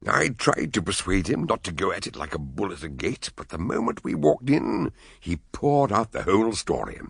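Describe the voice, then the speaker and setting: A genial detective in a radio play, chuckling as he talks. His voice is low and deeply gravelly, husky rather than clear, and it swings widely in pitch. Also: big pitch swings, normal speed, a bit bright, heavily acted. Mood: amused. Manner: genial, chuckling, fond of long words. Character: Dr Gideon Fell, jovial older male detective narrator. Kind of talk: radio drama acting and narration.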